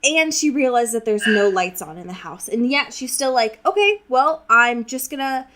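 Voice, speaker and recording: A woman's voice: fairly high pitch, animated, moderate pace, background noise. A woman co-hosting a podcast in a casual book discussion, talking in a wry, informal, slangy way and acting out lines as she recaps. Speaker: podcast co-host.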